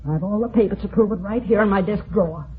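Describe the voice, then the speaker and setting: A woman's voice: medium pitch, quick pace, close to an everyday voice. An actress playing a vengeful, imperious female swindler in old-time radio melodrama, theatrical and cutting. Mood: bitter, contemptuous. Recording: thin, narrow-band old radio recording.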